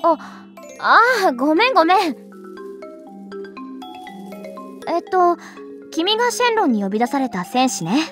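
A woman playing a small, bossy, breezy goddess in a Japanese anime game, casual, cheeky and quick to scold. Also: high voice, sing-song, fairly bright tone. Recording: music behind the voice.

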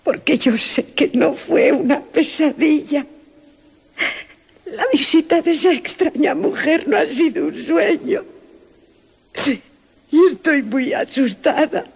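A woman's voice: high voice, vocal fry, full character voice. A woman playing a frightened, insistent little girl in a radio drama.

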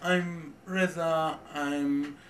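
Young man, Persian accent, very slow and hesitant, recorded from a distance with faint noise. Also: fairly high pitch, fairly even intonation, a bit bright. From Iran. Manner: halting, many pauses and fillers. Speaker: vlogger. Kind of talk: hesitant self-introduction. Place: home in Tehran.

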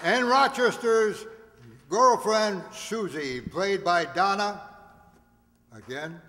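Older man, theatrical stage announcer, slow delivery, echoing hall with audience noise. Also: sits high, sharp tone, a faint crowd. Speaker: announcer of a radio players group. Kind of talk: reading out cast credits on stage. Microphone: stand microphone on stage, recorded by audience camera.

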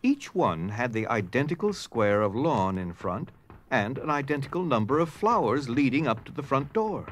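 A man's voice: mid-range voice, sing-song, moderate pace, slight background noise. A man narrating a scripted story dramatically in an audio drama.

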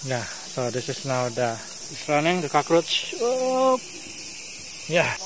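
A man's voice: a little high, very animated, slow pace, noisy background. A man with a Filipino accent guiding a cave tour, narrating and coaxing climbers along in mixed English and Tagalog. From Philippines. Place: inside a large cave.

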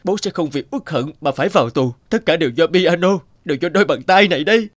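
A man playing a guilt-ridden young male pianist in an animated drama, dejected and self-blaming. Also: on the high side, fast talker, dry recording, lightly acted. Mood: despairing.

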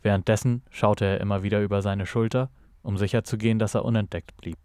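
A man narrating a scripted noir audio drama in a measured, dramatic storytelling manner. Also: on the low side, fairly even intonation, darkish tone, clean tone, no echo, studio quality.

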